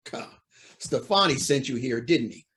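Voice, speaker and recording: An actor playing a proud, anxious, cornered judge in an old-time radio drama, speaking in a formal, indignant, theatrical way. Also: male voice, medium pitch, expressive, moderate pace, fairly bright tone, natural voice. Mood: startled, indignant.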